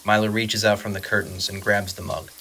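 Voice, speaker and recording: A man's voice: fairly low pitch, flat delivery, fairly quick, bright, forceful tone, a little hiss or hum. A man reading scripted dramatic narration for an audio drama.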